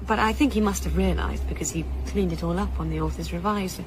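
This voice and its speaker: A woman's voice: lowish voice, brisk, natural voice. A woman playing a publishing editor in a radio drama, informal and frank, with slightly flustered, hesitant starts. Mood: chatty, frank. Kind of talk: naturalistic radio drama dialogue. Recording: faint background noise.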